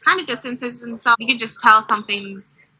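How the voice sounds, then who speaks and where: A woman's voice: a little high, normal speed, a crowd in the background. An American woman in her twenties giving casual, conversational interview answers. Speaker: interviewee, family member. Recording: dull, lo-fi sound.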